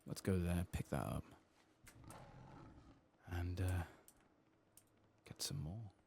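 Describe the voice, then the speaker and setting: A man giving soft-spoken ASMR commentary while playing a game, murmuring to himself on a close microphone. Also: sits low, takes it slowly, creaky, a little hiss or hum. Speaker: gameplay streamer.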